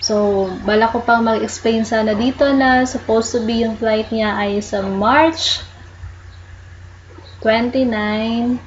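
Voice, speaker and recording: A woman with a Filipino accent walking viewers through an online process, explaining slowly and patiently while mixing English into Tagalog. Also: fairly high pitch, steady tone, dull audio, slight background noise. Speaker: tutorial host. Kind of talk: casual screen-recorded walkthrough.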